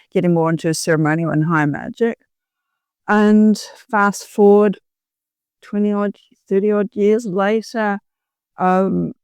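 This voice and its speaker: A middle-aged woman talks casually as an interview guest. Her voice is clear and smooth at a medium pitch, in a very dry recording. She rambles along in a self-deprecating way. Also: a little mellow, no echo. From New Zealand. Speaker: podcast guest, author. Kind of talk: casual autobiographical interview talk.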